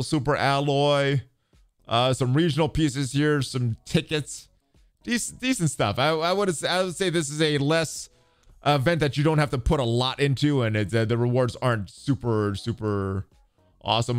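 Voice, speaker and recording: Male gaming host, mid-pitched and clear, casual commentary style, dry sound over faint music. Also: no room sound. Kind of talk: casual game update commentary.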